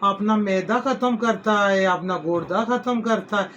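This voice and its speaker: A man's voice: high-pitched, a little slow, fairly bright tone, lo-fi sound, slight background noise. A middle-aged man, hosting a home cooking video in a folksy, rambling way, full of homely advice and blessings. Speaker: cooking channel host. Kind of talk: casual home cooking vlog.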